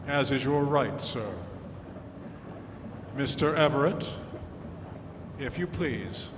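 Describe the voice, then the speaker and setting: In a noisy, echoing hall, a man plays an earnest clergyman investigator from an old-fashioned radio play. His mid-pitched voice is proper and courteous, with carefully articulated, formal phrasing. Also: takes it slowly, lightly acted. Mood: firm, formal. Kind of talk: old-time radio drama acting. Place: live stage staged as a radio studio. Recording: echoey hall with clear background noise.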